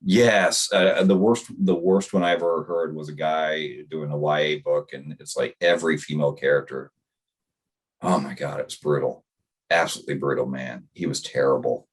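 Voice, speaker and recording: Man, very deep bass voice, low and husky, casual podcast guest, rambling, restarts sentences. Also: steady tone, moderate pace. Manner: rambling, restarts thoughts often. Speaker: guest author.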